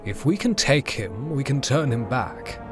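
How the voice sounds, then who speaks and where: A man portrays a principled, diplomatic Jedi master in an audio drama. His mid-range voice is polite and measured. Music plays faintly behind. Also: mid-range voice, big pitch swings. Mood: resolute. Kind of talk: fan audio drama acting.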